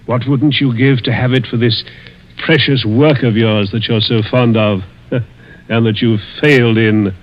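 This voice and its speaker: An actor playing a suave, malicious older millionaire in a 1940s radio mystery, with silky, taunting, deliberate speech. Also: male voice, mid-range voice, fairly even intonation, a little hiss or hum, lightly acted. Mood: taunting, sly. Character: suave, malicious, wealthy older stepfather plotting murder. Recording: muffled vintage 1940s radio recording.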